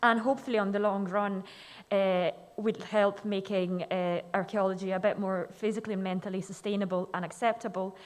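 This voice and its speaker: A young woman from Switzerland speaks at a talk in a mid-range voice. She is measured and careful, pausing on occasional fillers. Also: medium pitch, fairly even intonation. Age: young adult. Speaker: student presenter. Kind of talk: conference presentation. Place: lecture hall.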